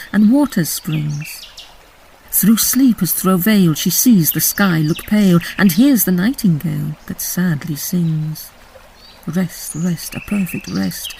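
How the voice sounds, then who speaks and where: Slow, mellow woman reciting poetry. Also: on the low side, vocal fry, a little hiss or hum. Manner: solemn, measured. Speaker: poetry reader.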